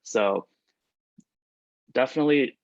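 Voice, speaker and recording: A man's voice: mid-range voice, takes it slowly, no echo. A young man whose first language is Chinese, a student answering questions in a casual chat, full of "like" and restarts. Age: young adult. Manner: chatty, many "like" fillers and restarts. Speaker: student panelist reading out questions. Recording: dull lo-fi dry recording.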